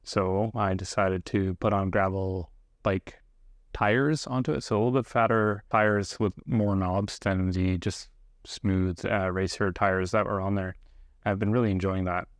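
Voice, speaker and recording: Low-pitched, even-toned man, reflective. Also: dry recording, studio quality. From grew up partly in South Korea, living in Canada. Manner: unhurried, reflective, pausing mid-sentence. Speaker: stationery video host. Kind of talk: reflective casual monologue to viewers.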